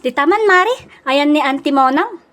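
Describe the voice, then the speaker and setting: Audio drama role for a woman: obliging niece-like helper, high-pitched, plain-spoken, Ilocano-accented. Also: expressive, moderate pace, fairly bright tone. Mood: casual. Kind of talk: comedy audio drama acting.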